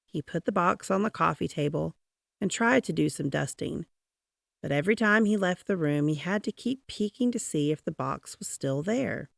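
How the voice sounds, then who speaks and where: A woman's voice: fairly low pitch, animated, moderate pace, creaky, dry recording, clean studio sound. A woman storyteller narrating a children's picture book expressively, drawing out key words for dramatic emphasis. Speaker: read-aloud storyteller.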